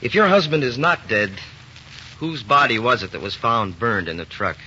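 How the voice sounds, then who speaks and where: An actor playing a brisk, businesslike FBI special agent in a 1940s radio crime drama. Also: male voice, mid-range voice, lively intonation, pushed and bright. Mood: urgent. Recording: dull, hissy 1940s radio recording.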